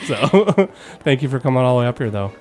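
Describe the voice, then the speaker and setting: A man's voice: medium pitch, big pitch swings, brisk, faint music behind. Casual, chatty male podcast host. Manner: informal, jokey, rambling.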